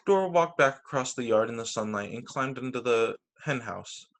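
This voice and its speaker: Animated male storytime teacher. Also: mid-range voice, moderate pace. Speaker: teacher reading picture books to children. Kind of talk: storytime narration read aloud. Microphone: video call.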